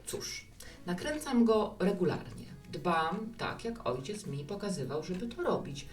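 Actress as a hospitable barkeeper, lively low-pitched voice. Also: female voice, a little slow. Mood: warm, reflective. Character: hospitable, reflective woman who runs a bar. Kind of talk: Polish radio play dialogue. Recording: roomy, distant mic with music.

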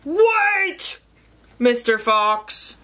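A woman voicing a big friendly rhino in a children's storybook, calling out with drawn-out words. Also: a little high, sing-song, sharp tone, heavily acted. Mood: hopeful. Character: big friendly rhino wanting to come along.

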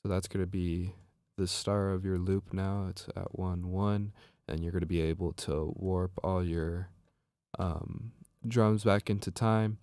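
A man narrating a software tutorial as a music production instructor, explaining step by step in an informal way. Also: low-pitched, little pitch movement, unhurried, dry recording.

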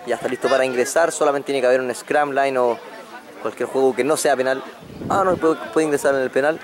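A man's voice: mid-range voice, brisk. A man giving live play-by-play commentary on a rugby match outdoors. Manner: excitable play-by-play, rises on breaks. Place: outdoors at a sports ground. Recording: crowd noise behind. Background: crowd and field noise.

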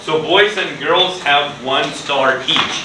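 A middle-aged man speaking as an English teacher, with deliberate, clearly enunciated delivery. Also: fairly high pitch, background noise. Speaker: ESL teacher and video host. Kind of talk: presenting to camera and leading a children's class.

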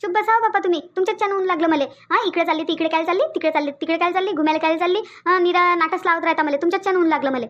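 A woman's voice: very high voice, very fast, hardly any pauses, a bit bright, dull audio. A woman voicing a stubborn, complaining old village grandmother in a cartoon, with a rustic Varhadi Marathi accent and a repetitive, grumbling manner. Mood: irritated, stubborn. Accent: Varhadi, Vidarbha Marathi. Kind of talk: cartoon dialogue.

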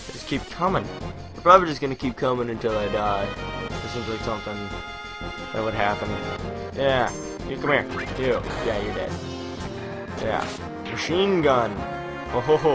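A man's voice: fairly low pitch, moderate pace, long pauses. A young man giving casual, rambling gameplay commentary with jokey mock bravado. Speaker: let's play commentator. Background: video game music.

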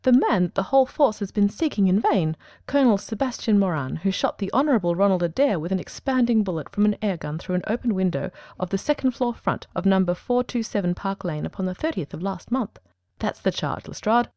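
A woman performs a self-assured detective genius in an audiobook. He talks fast and precisely in a mellow tone, formal and commanding. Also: medium pitch, animated, no room sound, close to an everyday voice. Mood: assured, dry. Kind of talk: dramatic audiobook reading.

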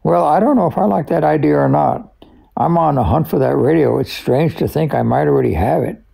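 A man with a raspy voice, a collector giving conversational, scripted narration about technical history. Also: fairly high pitch, brisk, warm tone. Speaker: radio collector and expert guest.

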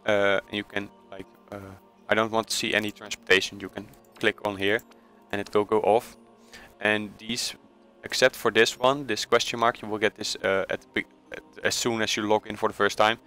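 A man with a Dutch accent walks through a game tutorial in a low, flat, bright voice. He speaks haltingly, filling pauses with "uh" and correcting himself mid-phrase. Soft music plays behind him. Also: clear, resonant tone. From Netherlands. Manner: hesitant, many uh fillers and self-corrections. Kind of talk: casual screen-recorded tutorial voice-over.

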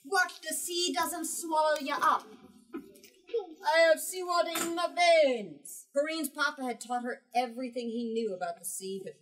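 A woman's voice: mid-range voice, breathy edge. A woman in her twenties leading a book talk, reading aloud and explaining in a dramatic, emphatic way. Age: 20s. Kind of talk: expressive read-aloud and discussion. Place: small bookstore with seated group. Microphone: camera at a few metres. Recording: distant camera mic in bookstore.

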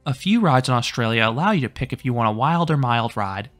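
A man reads a scripted amusement park review in an even, informative voice of middling pitch. He stays matter-of-fact throughout. Faint music plays softly beneath the voice-over. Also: fairly quick.

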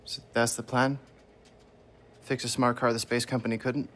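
A matter-of-fact young man played by an actor as a video game tech whiz. He is blunt and literal, speaking in clipped phrases at a medium pitch. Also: little pitch movement, normal speed, fairly bright tone, natural voice. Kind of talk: video game cutscene acting.

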